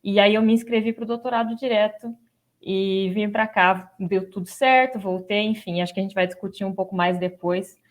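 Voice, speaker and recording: A woman's voice: medium pitch, steady tone, normal speed. A young adult woman with a Brazilian Portuguese accent giving an informal personal account as a guest, repeating words for emphasis. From São Paulo state, Brazil. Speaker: guest, doctoral student.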